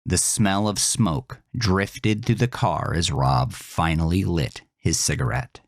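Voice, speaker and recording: Slow, deep-voiced horror narrator man. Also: dry recording, studio quality. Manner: slow, intense storytelling.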